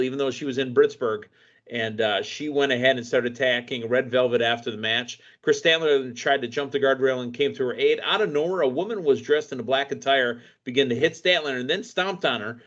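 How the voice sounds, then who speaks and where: A man's voice: medium pitch, a bit bright. Guy hosting a wrestling show, chatty recap, kinda muffled lo-fi sound. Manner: upbeat, recaps matches in detail. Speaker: wrestling podcast host. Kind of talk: casual wrestling recap chat. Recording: dull lo-fi audio.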